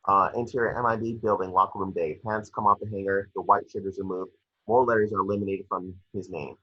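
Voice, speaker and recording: A man's voice: sits low, fairly even intonation, quick pace, breathy edge. A man narrating scripted action descriptions at an amateur table read, on a slightly dull home recording. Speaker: reader of stage directions.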